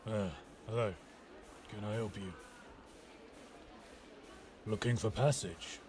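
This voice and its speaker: A man's voice: fairly low pitch, steady tone, slow pace, darkish tone, a little hiss or hum. A man playing a roguish, mercenary skyship captain with wry, courteous, old-fashioned speech in an immersive audio roleplay. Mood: dryly welcoming, haggling. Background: ambient sound effects.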